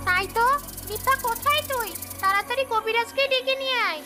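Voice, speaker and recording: A woman's voice: extremely high pitch, a little fast. A woman voicing a trusting, anxious village mother in a cartoon story, earnest and melodramatic. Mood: alarmed. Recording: music bed under the dialogue.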